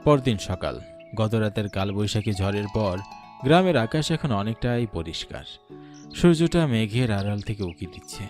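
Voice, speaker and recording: A man's voice: fairly low pitch, animated, normal speed, a little mellow, soft background music. A man giving dramatic, descriptive scripted narration of a horror story.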